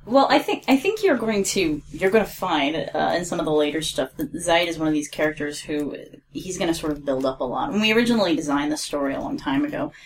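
A woman's voice: sits low, animated, fast talker, hardly any pauses, a little hiss or hum. A woman, co-creator of an audio drama, chatting casually on a podcast, rambling and correcting herself as she talks and laughing often.

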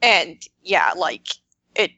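A woman's voice: on the low side, sing-song, slow pace, sharp tone, no echo. A woman chatting casually as a podcast panelist, telling things in a hesitant, filler-heavy way. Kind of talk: casual roundtable chat.

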